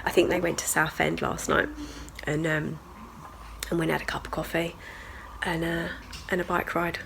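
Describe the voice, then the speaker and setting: A woman's voice: low voice, level tone, moderate pace, gravelly, a bit bright, a little hiss or hum, rough recording. A woman, chatting casually in a video diary at home with a stuffy nose from a cold, rambling with lots of "like" and "so yeah". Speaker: vlogger. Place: at home and in the garden.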